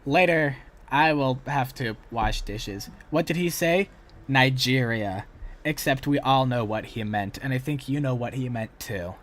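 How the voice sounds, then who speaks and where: A man's voice: medium pitch, a little slow, a bit bright. A man chatting casually as the host of a reaction livestream. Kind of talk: casual reaction stream chat.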